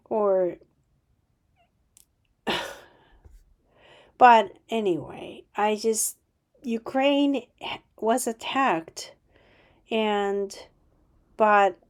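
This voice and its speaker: A woman speaks slowly and unscripted on her own podcast, her voice sitting at an ordinary middle pitch. She stops and restarts often, then pushes out sudden emphatic bursts. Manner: halting, many restarts and fillers, emphatic bursts. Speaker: podcast host. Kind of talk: unscripted solo podcast monologue.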